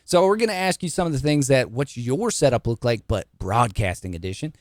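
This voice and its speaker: A man hosts a casual podcast interview with a friendly, chatty manner. His pitch swings up and down a lot as he talks. He sounds close to a studio mic in a dry room at home. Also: expressive, fairly quick, dry recording. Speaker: podcast host. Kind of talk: casual interview chat over video call. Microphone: studio dynamic mic.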